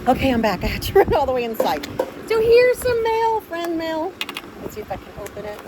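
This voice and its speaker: A woman vlogger speaks in a high, excitable voice. She chats casually and effusively, as if showing off treasures to her regular viewers. There is clear ambient noise behind her. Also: fairly quick. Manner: gushing, effusive, easily excited. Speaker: vlogger, host of an unboxing channel. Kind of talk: casual excited unboxing chat.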